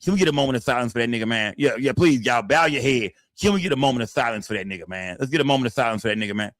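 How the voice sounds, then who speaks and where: A man's voice: medium pitch, lively intonation, rapid-fire, fairly bright tone, dry recording, studio quality. A man in his forties hosting a live stream in loose, profane casual chat. He reads the chat aloud and repeats his phrases, tagging them with "man" and "fam.". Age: 40s.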